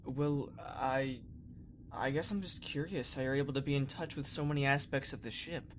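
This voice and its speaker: A man's voice: mid-range voice, steady tone, moderate pace, slight background noise, close to an everyday voice. A man playing an earnest, curious young space trainee in a sci-fi fan parody, talking in a casual, hesitant way.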